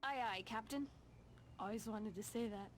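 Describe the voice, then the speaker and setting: A woman's voice: fairly high pitch, moderate pace, lightly acted. A young woman playing an earnest, thoughtful sidekick in a video game, a little tentative. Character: polite young female sidekick, thoughtful and eager. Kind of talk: video game cutscene acting. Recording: music under the voice.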